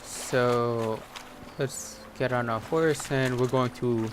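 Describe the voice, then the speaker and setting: A man's voice: mid-range voice, steady tone, takes it slowly, background noise. A man giving casual commentary as he plays a video game, sometimes reading on-screen text aloud. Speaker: gaming video host. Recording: voice over loud game music. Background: game music and horse sounds.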